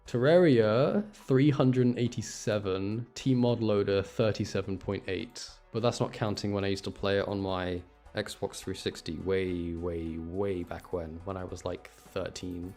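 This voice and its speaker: A man's voice: on the low side, gravelly, darkish tone. A young man doing a casual gaming livestream, rambling and thinking aloud as he goes. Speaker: game streamer. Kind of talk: casual gaming stream chat.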